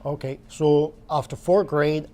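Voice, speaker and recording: A man's voice: on the high side, fairly even intonation, unhurried, mellow. A man hosting a talk show, asking questions conversationally and encouraging his guest. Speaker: talk show host and interviewer. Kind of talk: conversational interviewing.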